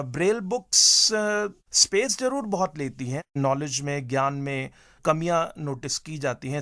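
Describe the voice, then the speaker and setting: Guy with a creaky voice explaining stuff in an interview, pauses a bit, teacher type, dry sound. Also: medium pitch, fairly bright tone, dry recording. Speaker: teacher and teacher trainer, interview guest. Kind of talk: considered interview answers.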